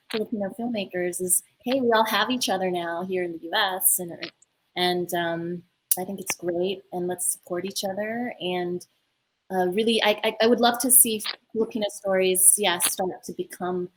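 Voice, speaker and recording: A woman filmmaker on a remote video call, speaking reflectively and haltingly with many fillers. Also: lowish voice, slight background noise, poor audio. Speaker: filmmaker panelist. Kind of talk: casual roundtable talk.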